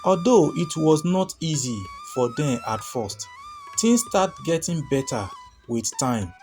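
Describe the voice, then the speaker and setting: A man tells a scripted folktale in a fairly high voice. His pitch moves in very wide, animated swings as he narrates. Music plays faintly behind him. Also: measured pace. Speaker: storyteller, narrator.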